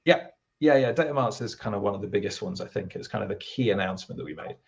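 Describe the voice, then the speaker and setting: British guy on a video call, low gravelly voice, rambles with lots of ums, kinda dull lo-fi sound. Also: fast talker. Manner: relaxed, rambling explanations with many fillers. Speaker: product team guest. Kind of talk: casual interview talk.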